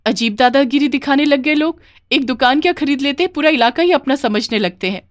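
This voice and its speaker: A woman's voice: sits high, brisk, dry recording, pitched above the performer's own voice, close to an everyday voice. Woman doing a poor widowed mom in a hindi cartoon story, earnest n plaintive, dry close sound. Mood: indignant. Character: poor widowed mother, earnest street-food seller. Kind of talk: Hindi animated moral story dialogue.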